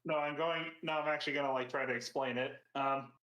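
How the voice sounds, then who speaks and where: A woman's voice: a bass voice, steady tone, fast, a bit bright. A woman speaking casually as a player in a tabletop roleplaying game, describing her character's actions. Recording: echoey, reverberant room.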